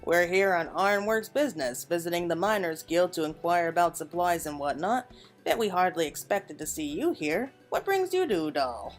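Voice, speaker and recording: A woman's voice: lowish voice, animated, normal speed, faint music behind, natural voice. A woman voicing friendly, wry engineers from a fantasy game in a light conversational read-aloud.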